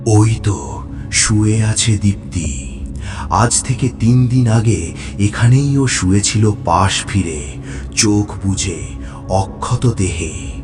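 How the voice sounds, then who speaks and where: An actor playing a bloodthirsty, coldly gloating vampire in a horror audio story, speaking with slow, savouring menace. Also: male voice, fairly low pitch, lively intonation, over music. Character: bloodthirsty male vampire, coldly savouring his human prey. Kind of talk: dramatic first-person horror audio story narration.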